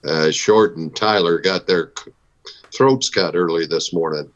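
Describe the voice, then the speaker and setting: A low male voice, slightly dull in the recording, as a frontier marshal in a radio Western. He is steady and matter-of-fact. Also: a little slow. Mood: businesslike. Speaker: actor playing a marshal. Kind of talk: old-time Western radio acting. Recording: dull band-limited audio.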